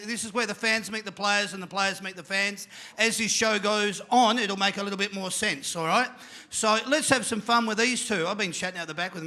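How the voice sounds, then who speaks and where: Rowdy high-voiced Australian male host. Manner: energetic, jokey, shouts to the crowd. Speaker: panel show host. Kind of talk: live panel show hosting. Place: live venue with an audience.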